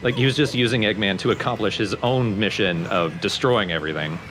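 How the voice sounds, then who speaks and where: A man's voice: fairly low pitch, fairly quick, fairly bright tone, soft background music, rough recording. A man giving casual, analytical commentary as a let's play host.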